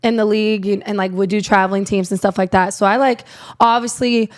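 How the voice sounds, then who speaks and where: Young woman guest from Iowa, raspy, loose rambling delivery. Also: mid-range voice, fairly even intonation, fairly quick. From Iowa, USA. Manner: rambling, lots of "like" fillers. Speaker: guest, country singer. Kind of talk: casual interview chat.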